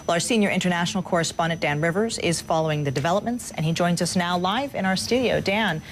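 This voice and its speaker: A woman with a fairly low voice presents live news as an anchor. She reads and asks questions in broadcast style, with noticeable noise behind her. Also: quick pace.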